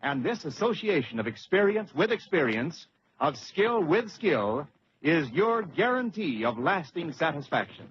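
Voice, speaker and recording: A man announcing a scripted old-time radio advertisement in an emphatic, declamatory way. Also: fairly high pitch. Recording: dull vintage radio recording, faint noise.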